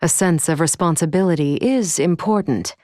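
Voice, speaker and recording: A woman's voice: lowish voice, moderate pace, mellow, no room sound, clean studio sound, natural voice. A woman voicing an android who impersonates a courteous, caring royal retainer, formal and theatrical, in a video game. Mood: solicitous.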